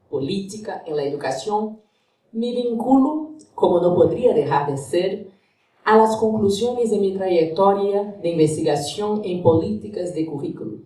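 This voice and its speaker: A woman's voice: mid-range voice, animated, unhurried, warm tone. A Brazilian woman, Portuguese her first language, giving an academic lecture with a Brazilian Portuguese accent, careful and searching for words in a second language. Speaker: guest lecturer. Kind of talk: academic lecture, partly read aloud. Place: university lecture hall. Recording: echoey hall from far off.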